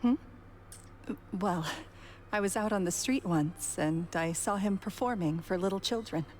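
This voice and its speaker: A woman playing a gentle, wistful bereaved woman in a video game, reflective and measured. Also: medium pitch, natural voice. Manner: soft-hearted, reflective, measured. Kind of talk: dramatic video game voice acting.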